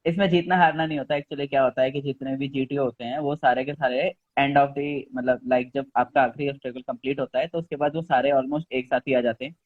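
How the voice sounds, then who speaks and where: A young man as an interview guest, telling stories in a relaxed, casual way. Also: mid-range voice, fairly quick, few pauses, dry recording. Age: young adult. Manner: relaxed, storytelling, mixes in English phrases. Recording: dry phone-like audio.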